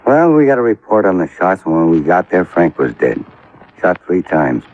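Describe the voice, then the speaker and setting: An American actor playing an easygoing, laconic police lieutenant in a 1950s radio drama, unhurried and noncommittal, with a husky voice. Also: male voice, low voice, big pitch swings. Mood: laconic, matter-of-fact. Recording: dull vintage radio recording.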